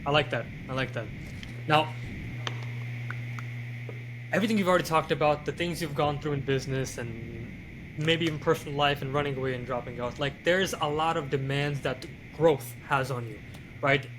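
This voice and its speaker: A man's voice: on the high side, normal speed, long pauses, noisy background. A man hosting a podcast, asking reflective questions with frequent restarts and fillers. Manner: thoughtful, many restarts and fillers. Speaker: podcast host. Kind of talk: casual interview questions.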